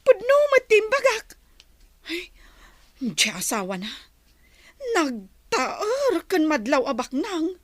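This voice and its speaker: A woman's voice: extremely high pitch, big pitch swings, slow pace, long pauses, no room sound, heavily acted. A woman acting an envious, nosy, disbelieving gossip in a radio drama. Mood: envious, whining.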